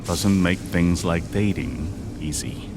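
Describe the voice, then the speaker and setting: An actor playing a blunt, gently advising widowed father in an audio drama. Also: deep voice, slow pace, background noise. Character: gruff, wise widowed Korean father.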